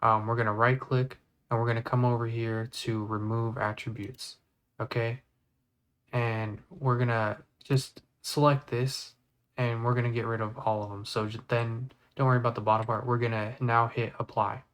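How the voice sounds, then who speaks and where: Man, medium pitch, casual tutorial presenter, step-by-step, thinking aloud. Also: flat delivery, moderate pace, a bit bright. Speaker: video editing tutorial presenter. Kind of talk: casual screen-recorded tutorial.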